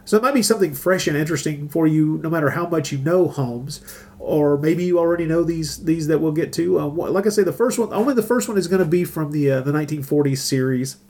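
A man with a slightly high voice hosts a podcast in an informal way. He chats casually and gives his opinions with emphasis. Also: fast talker, darkish tone. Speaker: podcast host. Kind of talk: casual chat.

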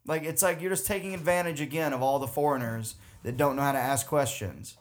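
A man telling a story in casual chat, rambling with lots of fillers and getting loud and heated. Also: fairly high pitch, a little fast.

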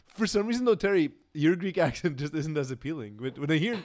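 Guy chatting basketball on a podcast, kinda higher voice, rambles and laughs a lot. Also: lively intonation, a little fast. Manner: rambling, laughs often, trails off quietly. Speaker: podcast co-host. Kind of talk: casual sports chat.

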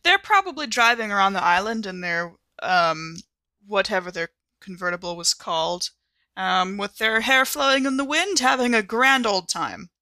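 Female tabletop player, bright forceful tone, wry improvised chat, dry studio-close sound. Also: medium pitch, animated, normal speed, dry recording. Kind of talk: casual in-character improvised chat.

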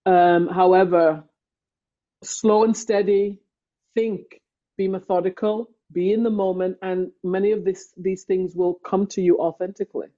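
A woman from England with an English accent, a podcast guest talking things through slowly and thoughtfully with metaphors. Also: on the low side, steady tone, dark tone, dull audio. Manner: slow, thoughtful, uses metaphors. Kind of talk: reflective conversation.

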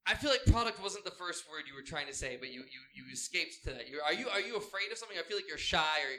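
A quick-talking man with a bright, high voice hosts a comic interview. He probes his guest with mock-earnest questions in rapid bursts. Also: lively intonation. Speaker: show host and interviewer. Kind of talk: mock talk-show interview.

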